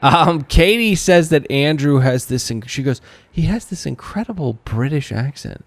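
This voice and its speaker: A man's voice: on the high side, lively intonation, normal speed, no echo. Wry podcast host, man, close dry sound. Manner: wry, jokey, with sardonic asides. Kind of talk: casual comedic TV recap chat.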